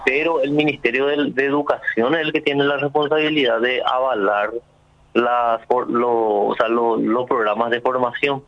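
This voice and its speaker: A man's voice: medium pitch, steady tone, normal speed, highs cut off. A man from Paraguay, a sign language interpreter interviewed on the radio. He explains things earnestly, with many fillers and restarts.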